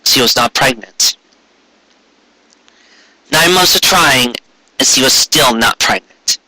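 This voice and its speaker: A man reading a story aloud in an expressive, theatrical way, lingering over lists of words. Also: mid-range voice, slow pace, no room sound, poor audio. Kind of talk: expressive scripted narration with occasional asides.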